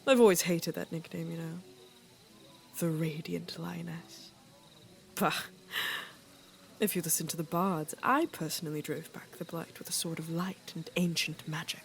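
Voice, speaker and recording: A woman playing an aging fantasy queen in an audio drama, stately and measured, dryly witty, weary and fond. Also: on the low side, steady tone, vocal fry. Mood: teasing.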